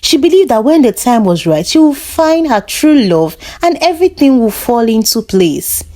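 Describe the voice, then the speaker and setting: A woman reads a scripted folktale as a storyteller, with a measured, expressive storybook delivery. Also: on the high side. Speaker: storyteller, narrator.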